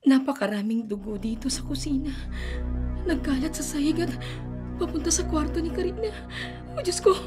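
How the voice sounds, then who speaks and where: A woman's voice: high-pitched, normal speed, long pauses, over music, lightly acted. A woman playing a frantic, whispery mother. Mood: terrified. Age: middle-aged. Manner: tremulous, whispery, anxious. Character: desperate middle-aged mother hiding her undead daughter. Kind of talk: radio drama acting.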